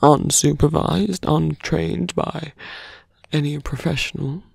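A man voicing a seductive, sardonic fantasy villain in an intimate, breathy ASMR roleplay, murmuring slowly close to the mic. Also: fairly high pitch, fairly even intonation, no room sound, full character voice. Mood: confiding, bitter. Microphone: close microphone, dry.

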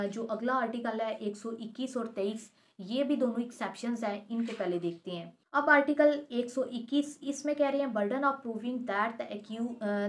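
A young woman with a Pakistani accent explaining law in an instructional way, mixing in English terms. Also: on the high side. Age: young adult. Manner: instructional, mixes English legal terms into speech. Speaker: advocate teaching law. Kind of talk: explanatory law lecture with examples. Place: small furnished room indoors.

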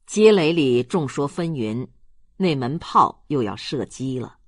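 Female audiobook narrator, lower register, close dry sound, scripted storytelling. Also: expressive, unhurried, no room sound.

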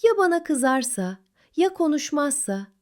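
Storybook hedgehog, young, restless and tactless, high and very animated female performer acts it out. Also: unhurried. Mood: worried, remorseful. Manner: blunt, careless, later apologetic.

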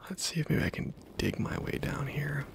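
A man whispering ASMR game commentary close to the mic, breathy and muttering dryly to himself. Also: a little high, fairly even intonation, moderate pace. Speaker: gaming video creator.

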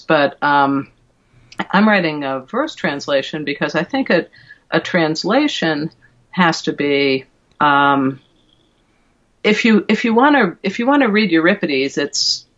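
A middle-aged woman speaks as an interview guest, feeling her way through explanations with a thoughtful, halting delivery. The sound is dull and lo-fi, like a video call from a room at home. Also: very deep voice. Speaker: guest, verse translator and classicist.

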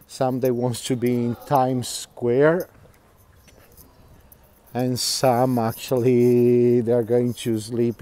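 A middle-aged man leads a live walking tour outdoors, his voice mellow and relaxed at an ordinary middle pitch. He chats loosely, reads out viewer comments, laughs often and fills gaps with "uh" as he walks, speaking into a phone camera he carries. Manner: chatty, laughs often, many fillers and restarts. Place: outdoors, quiet canal-side streets.

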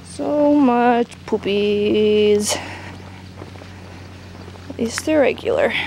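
A woman's voice: on the high side, very slow, gravelly. A young woman chatting casually and playfully as she films a travel vlog on a handheld camera, cooing as she talks. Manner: playful, coos at animals and children. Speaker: travel vlogger. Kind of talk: casual chat while filming a hike. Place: outdoors on mountain village roads and paths. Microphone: handheld camera microphone. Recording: noisy outdoor handheld camera audio.

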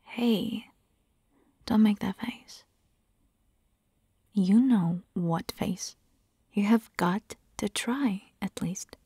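A woman playing a caring, teasing vampire hunter girlfriend in an intimate ASMR roleplay, whispering breathily and coaxing gently. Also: medium pitch, drawn-out words, pauses often, slightly rough, no echo, studio quality, full character voice. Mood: playful, coaxing.

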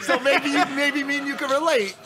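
A man's voice: extremely high pitch, fairly even intonation, fairly quick, over a noisy crowd. A young man on a podcast panel in a studio, bantering casually with slang and swearing. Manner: slangy, profane. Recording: loud noisy overlapping studio sound.

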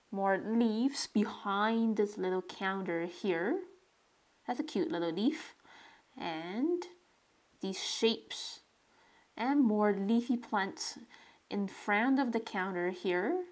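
A woman narrating a relaxed drawing tutorial step by step, describing what she does as she draws. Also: mid-range voice. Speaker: sketching tutorial host.

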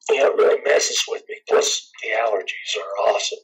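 Raspy laid-back man. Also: on the low side, a little fast. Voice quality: scratchy, raspy voice. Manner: laid-back, rambling, chuckles often. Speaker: guitarist guest. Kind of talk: casual interview chat.

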